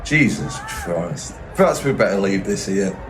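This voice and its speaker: A man's voice: lowish voice, animated, unhurried, fairly bright tone, soft background music, a faint crowd. An actor playing a weary, exasperated policeman in a comic audio play. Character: weary, exasperated British policeman questioning a witness. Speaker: actor in an audio comedy drama.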